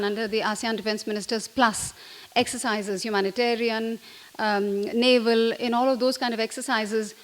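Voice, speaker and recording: A middle-aged woman from India, giving an unscripted briefing as an ambassador, hesitant, with many fillers and restarts. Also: a little high. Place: conference room.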